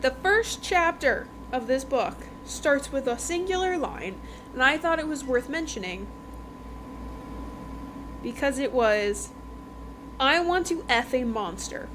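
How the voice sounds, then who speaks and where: Higher-voiced chatty woman, noisy recording. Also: animated, slow, long pauses. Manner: informal, emphatic, repeats phrases, checks in with "okay?" and "right?". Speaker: podcast host reviewing books. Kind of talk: casual chatty book review.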